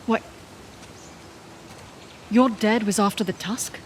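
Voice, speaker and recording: An actress playing a blunt, guarded woman mercenary in a video game, terse and deadpan. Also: mid-range voice, takes it slowly, slight background noise, natural voice. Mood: curious, dry. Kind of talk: naturalistic video game acting.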